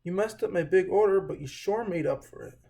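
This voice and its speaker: A man voicing an excitable ice cream shopkeeper, raised pitch. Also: fairly quick, creaky. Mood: grateful. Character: excitable ice cream shop owner, man, quick to anger.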